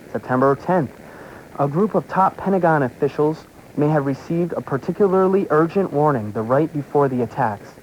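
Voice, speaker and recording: A man's voice: fairly high pitch, a little mellow, dull audio, slight background noise. An American man reading political commentary aloud as a radio talk show host, taped from a shortwave broadcast. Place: radio broadcast, heard through a shortwave receiver.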